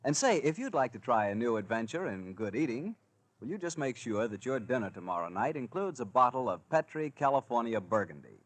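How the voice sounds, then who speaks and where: A man's voice: mid-range voice, sing-song, fairly quick. An American man announcing in polished, folksy 1940s radio style, hosting and selling for the sponsor. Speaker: announcer and host.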